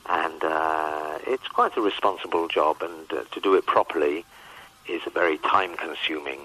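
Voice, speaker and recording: Deep, bright-voiced man in his fifties. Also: normal speed, slightly rough, poor audio. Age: 50s. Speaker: rock band manager, interview guest. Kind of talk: conversational radio interview. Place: on a phone call to a radio show. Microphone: telephone.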